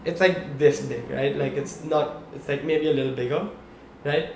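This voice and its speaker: A man's voice: mid-range voice, moderate pace, creaky. Podcast co-host, young male, chatty storyteller, roomy echo. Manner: animated storyteller, checks in with "okay? right?". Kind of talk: casual storytelling chat. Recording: echoey room, faint background hum.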